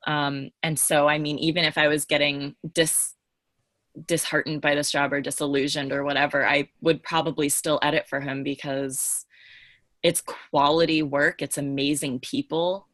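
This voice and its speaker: A woman with a low-pitched, bright and forceful voice, recorded dry and close. She talks casually and candidly as a podcast panelist, emphatic and gushing in an informal way. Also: flat delivery, normal speed, no room sound. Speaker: podcast panelist and book editor.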